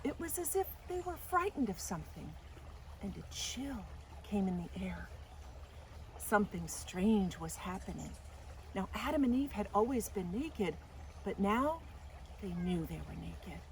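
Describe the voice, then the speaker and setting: A woman narrates a children's storybook at a slow pace and a middle pitch. Her reading is deliberate and dramatic, as a storytime host would do it. There is faint outdoor noise behind her. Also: very animated. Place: outdoors in a garden. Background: faint outdoor ambience.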